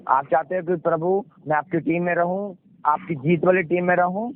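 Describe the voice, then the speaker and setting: High-voiced Punjabi man preaching, muffled noisy line. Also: fairly even intonation, normal speed. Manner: exhorting, repeats phrases, asks questions and answers them. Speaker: pastor preaching. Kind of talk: sermon with rhetorical questions, call and response. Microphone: conference call. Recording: muffled, noisy conference call audio.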